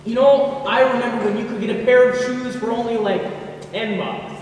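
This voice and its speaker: Young man as sardonic friend, very high voice. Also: fairly even intonation, normal speed, darkish tone. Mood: sardonic banter. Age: teenager. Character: sardonic, bantering friend in a stage comedy. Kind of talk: stage comedy dialogue. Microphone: distant camera. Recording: echoey hall from far off, faint noise.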